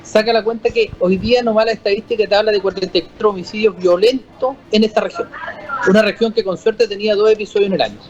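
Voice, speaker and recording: A man with a Chilean accent, a guest on a political panel, arguing his view in a measured way with frequent restarts. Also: sits high, fairly even intonation, darkish tone. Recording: slightly muffled audio with faint music.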